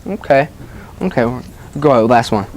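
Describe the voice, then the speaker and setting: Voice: mid-range voice, expressive, moderate pace, darkish tone, rough recording. A teacher reading a story aloud to children and leading discussion with questions and short acknowledgements. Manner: prompting children with questions, acknowledging their answers.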